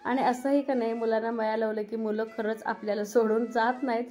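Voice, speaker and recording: A woman's voice: a little high, little pitch movement, a little fast, dark tone, slight background noise. A woman with a rural Maharashtrian Marathi accent, chatting casually as she narrates a home cooking vlog. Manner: chatty, conversational. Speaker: family vlog host.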